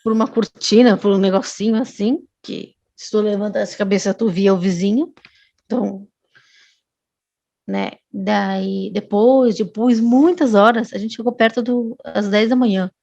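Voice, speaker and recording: A woman's voice: medium pitch, steady tone, measured pace, gravelly, dark tone, no echo, highs cut off. A woman, a guest telling a long personal story in casual chat, often restarting and adding tag questions. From Brazil.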